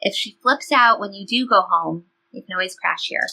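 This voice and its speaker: A woman's voice: medium pitch, normal speed, vocal fry, pushed and bright, full character voice, rough recording. A woman playing a loyal, upbeat seventeen-year-old girl in an audio play, reassuring and playful in everyday dialogue. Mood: supportive. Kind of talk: audio play reading, conversational teen dialogue.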